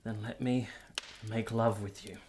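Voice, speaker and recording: An actor playing a lovestruck, conflicted nineteenth-century man in a radio drama, speaking in earnest, measured, literary phrases. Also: on the low side, steady tone, a little mellow, slight background noise, close to an everyday voice. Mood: tender, intimate. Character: Giorgio, lovestruck, conflicted 19th-century Italian man, confessional narrator. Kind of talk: radio drama narration and intimate dialogue.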